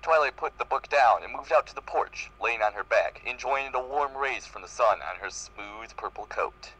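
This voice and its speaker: A man's voice: medium pitch, moderate pace, sharp tone, rough recording. A man reading fan fiction aloud in a live group reading. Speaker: reader in a group comedy reading.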